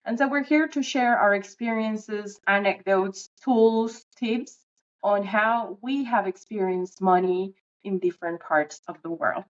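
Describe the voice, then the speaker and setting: A woman's voice: medium pitch, measured pace, highs cut off. Venezuelan-born woman hosting a podcast. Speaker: podcast co-host. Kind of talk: casual podcast chat.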